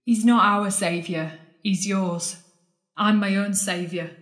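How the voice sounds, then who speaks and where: A woman's voice: mid-range voice, steady tone, slow pace, close to an everyday voice. Defiant worldly woman played by an actress, echoey. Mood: resolved, loving. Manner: intense, challenging, sardonic. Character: Marrie, defiant worldly woman pleading with her lost love. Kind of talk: audio drama acting. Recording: echoey, roomy sound.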